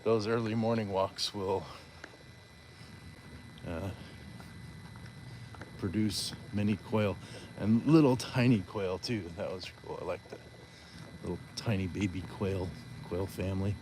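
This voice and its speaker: A man's voice: deep voice, fairly even intonation, unhurried, pauses often, noisy background. A man hosting a live stream while walking outdoors, chatting casually and reading viewer comments aloud with playful asides. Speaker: livestream host.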